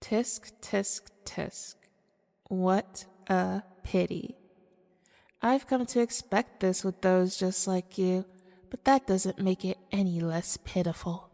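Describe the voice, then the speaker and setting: A woman's voice: mid-range voice, fairly even intonation, vocal fry, mellow. Woman voicing a seductive demon in cavernous reverb. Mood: mock pity. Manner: formal, deliberate, coaxing. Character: seductive, manipulative female demon hungry for hearts. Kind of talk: scripted ASMR audio roleplay monologue.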